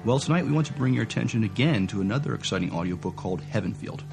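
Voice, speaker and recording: A man co-hosting a podcast, reading out entertainment news and chatting, with a halting, stumbling delivery. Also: on the low side, expressive, vocal fry, a little mellow, soft background music. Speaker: podcast co-host.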